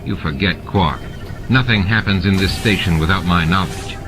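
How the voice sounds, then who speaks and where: A man's voice: sits low, normal speed, natural voice. A man voicing a grim, suspicious alien station constable, stern and dry, in a dramatized audiobook. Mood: dry, knowing. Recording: music and sound effects behind the voice.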